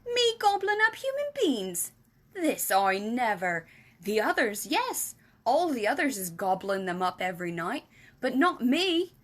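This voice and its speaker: A woman's voice: fairly high pitch, sing-song, measured pace, pitched above the performer's own voice. Woman voicing a big, exuberant, muddled friendly giant. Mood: indignant, then proud. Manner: mangled grammar and nonsense words, emphatic. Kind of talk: animated children's storybook reading.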